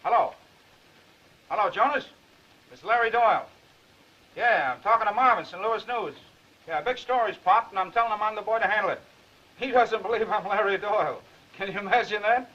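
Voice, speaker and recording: A man's voice: high-pitched, a little fast, long pauses, close to an everyday voice. An actor playing a brash, wisecracking newspaper reporter down on his luck in a 1930s Hollywood film. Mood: cocky, hurried. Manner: cocky, wisecracking. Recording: muffled, noisy 1930s film soundtrack.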